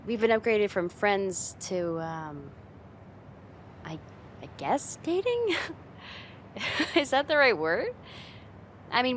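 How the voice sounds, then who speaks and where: A woman's voice: on the low side, slight background noise. A young woman playing a friend's teasing, affectionate older sister in a casual, intimate ASMR roleplay. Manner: teasing, giggly, soft sighs, casual hesitant phrasing.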